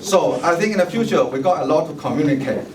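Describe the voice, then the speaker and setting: A man's voice: a little high, lively intonation, fast, a crowd in the background. A middle-aged man whose first language is Chinese, giving a formal, ceremonial campaign speech and thanking guests at a tea party. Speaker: mayoral candidate giving a speech. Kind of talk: bilingual public speech, switching English and Chinese. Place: large hall at a tea party event. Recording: echoey hall, distant mic, noisy ambience.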